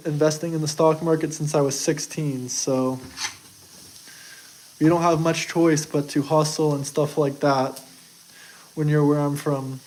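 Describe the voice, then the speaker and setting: Young man, from the US, higher-pitched, boastful, defensive, casual stream talk. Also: darkish tone, a little hiss or hum, rough recording. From United States. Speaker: streamer answering chat. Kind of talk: casual live chat.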